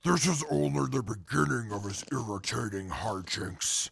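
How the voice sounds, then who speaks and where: Menacing wasteland fighter, extremely gravelly, harsh forceful edge, slow scornful delivery, audio drama. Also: male voice, medium pitch, full character voice. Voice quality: raspy, gravelly. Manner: scornful, formal wording. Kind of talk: post-apocalyptic audio drama acting.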